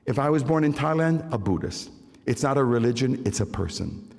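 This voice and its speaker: A man's voice: medium pitch, animated, fairly quick, creaky, a little mellow. An older man giving an emphatic evangelistic testimony in a church, repeating key phrases and speaking directly to his listeners. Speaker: concert pianist giving testimony. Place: large church sanctuary. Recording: long hall reverb.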